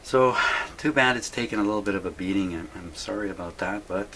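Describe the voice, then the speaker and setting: A man's voice: lowish voice, fairly quick, fairly bright tone, a little hiss or hum, poor audio. A man casually narrating his hands-on repair work as a video host, rambling and thinking aloud to himself. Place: indoor home workshop.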